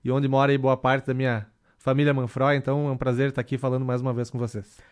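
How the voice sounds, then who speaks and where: A man in his thirties, a councilman, answering questions in a radio interview in a measured way, with many fillers and restarts. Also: medium pitch, little pitch movement. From southern Brazil. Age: 30s. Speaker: city councilman, radio guest.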